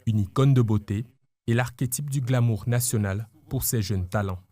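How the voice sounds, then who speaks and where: A man reading a scripted news report as a voice-over in a polished, measured way. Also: medium pitch, dark tone.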